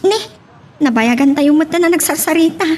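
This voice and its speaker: A woman's voice: sits high, moderate pace, darkish tone, recorded from a distance, a little hiss or hum, natural voice. A woman with an Ilocano accent acting an inquisitive, chatty young woman in a romance audio drama. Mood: curious.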